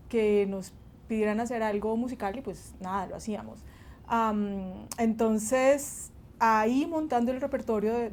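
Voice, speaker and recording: A middle-aged Colombian woman, a bassist and music teacher, talking about her life in an interview, laughing often and pausing between phrases. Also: a little mellow, slight background noise.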